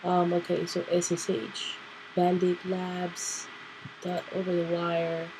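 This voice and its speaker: Woman, nasal from a cold, slow chatty tutorial, faint hiss behind. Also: lowish voice, completely flat delivery. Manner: chatty, thinking aloud with fillers. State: sick, nasal and clearing throat. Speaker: tutorial host. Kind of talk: casual screen-recorded tutorial.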